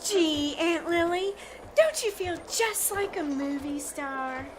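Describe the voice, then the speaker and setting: A woman's voice: very high-pitched, expressive, takes it slowly, soft background music, exaggerated voice. A woman playing a gushing, bossy teenage girl in a live 1940s-style radio comedy, with theatrical, emphatic delivery. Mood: excited, eager. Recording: live small-theater stage recording, background noise.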